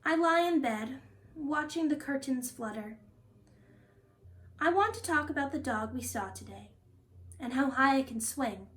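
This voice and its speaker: A woman reads a picture book aloud for children. Her voice sits high and moves in very lively melodic swings. She phrases each line expressively and deliberately, as a storytime host does.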